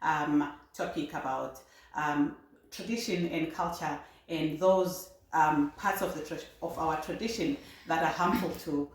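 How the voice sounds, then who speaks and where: A woman from Zimbabwe, a guest speaking in a measured way with frequent ums. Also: sits low, steady tone. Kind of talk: talk show conversation. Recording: very echoey room.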